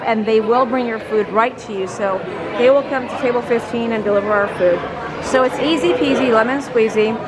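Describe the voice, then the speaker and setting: A woman travel vlogger, a bit hoarse from losing her voice, chatting step by step through a theme park visit. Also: a little high. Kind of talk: casual vlog narration. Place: busy theme park, indoors and out. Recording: crowded park noise with music. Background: crowd chatter and music.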